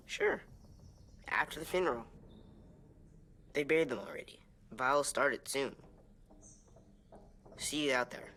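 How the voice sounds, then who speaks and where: A young boy from a video game drama. He is soft-spoken and earnest, talking simply and gently, as if reassuring a friend. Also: mid-range voice, lively intonation, unhurried, long pauses, a little hiss or hum.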